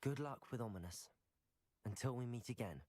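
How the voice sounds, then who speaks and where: A man's voice: medium pitch, animated, normal speed, a little mellow, no room sound, studio quality, close to an everyday voice. A man playing an earnest, cautious teenage wizard student, the hero of a video game. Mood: wary. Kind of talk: scripted video game dialogue and combat calls.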